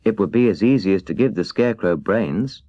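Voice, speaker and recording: A man voicing a plucky, forthright little girl in a children's storybook, earnest and quick to indignation. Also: fairly low pitch, animated, warm tone, no echo, highs cut off, lightly acted. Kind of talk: children's storybook character reading.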